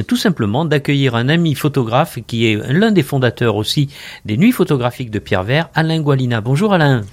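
A man from the Mediterranean hosting a radio interview, asking questions with the occasional filler. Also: medium pitch. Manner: warm, admiring questions with some fillers. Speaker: radio host.

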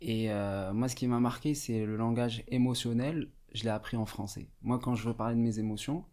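A man's voice: mid-range voice, fairly even intonation, a little fast, warm tone. A young man from Morocco whose first language is Arabic, speaking as a radio guest in a casual, hesitant, thoughtful way with many fillers and restarts. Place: radio studio.

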